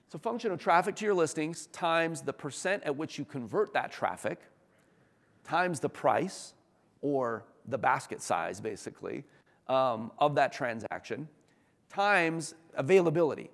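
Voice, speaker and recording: A man giving an informal business presentation as a company executive, enthusiastic, conversational and emphatic, with a slightly hoarse voice. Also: fairly high pitch, expressive, measured pace, fairly bright tone. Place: large room, talk before an audience. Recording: echoey hall with long reverb.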